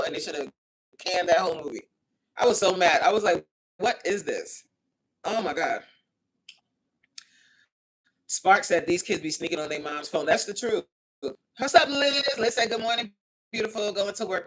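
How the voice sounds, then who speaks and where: A woman hosting a casual livestream, reading chat aloud, animated and outspoken, exclaiming often and laughing as she talks. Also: fairly low pitch, moderate pace, pauses often, low-quality recording. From United States.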